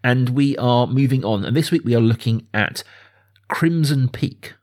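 A man's voice: medium pitch, moderate pace, darkish tone. Man hosting a casual film podcast. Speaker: podcast host.

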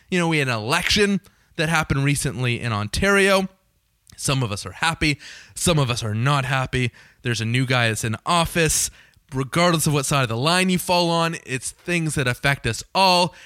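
A young man with a slightly raspy voice, hosting his podcast in a chatty, joking way and swearing casually. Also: on the high side, a bit bright, no echo, clean studio sound. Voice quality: a little raspy. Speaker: podcast host. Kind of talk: casual solo podcast intro.

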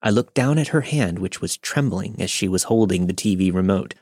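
A man hosting a podcast, reading a listener's story aloud in a steady, measured way. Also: low voice, dry recording, studio quality. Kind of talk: scripted narration.

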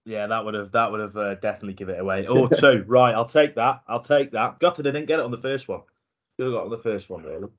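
A man's voice: lowish voice, fast, fairly bright tone. A man hosting a casual football podcast, upbeat and competitive, thinking aloud while he guesses. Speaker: podcast host. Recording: dull lo-fi podcast audio.

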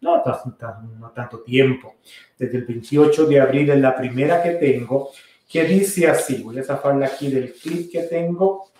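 A middle-aged man, a priest hosting a casual podcast, answering listener questions in a relaxed, rambling way with many fillers and restarts. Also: medium pitch, a little slow. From Costa Rica. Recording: very echoey room.

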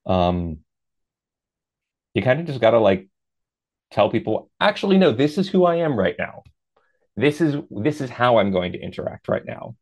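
A man in his thirties with a deep voice, a podcast guest talking things through in a reflective, measured way with lively asides. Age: 30s. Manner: reflective and measured, lifts into animated quoted asides. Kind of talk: casual interview chat.

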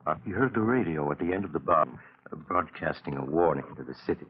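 An actor playing an ominous storyteller, narrating a 1940s radio mystery in a dramatic scripted style. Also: male voice, very deep voice, lively intonation, quick pace, slight background noise. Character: ominous male storyteller of a crime tale. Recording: muffled narrow 1940s radio recording. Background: music.

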